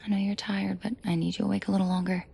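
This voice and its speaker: A woman playing a commanding, protective mafia boss in an intimate roleplay audio drama, shifting between cold authority and soft coaxing. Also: mid-range voice, level tone, very fast, gravelly, husky. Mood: tender, protective. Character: commanding female mafia boss, furious yet protective and tender.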